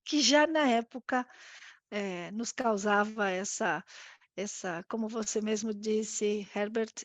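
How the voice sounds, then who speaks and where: A woman in her fifties with an Argentine accent and Spanish as her native language, giving a conversational, reflective interview answer as a guest, often pausing to search for words. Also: medium pitch, no room sound. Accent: Argentine accent in Brazilian Portuguese. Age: 50s. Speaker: interview guest, risk management executive.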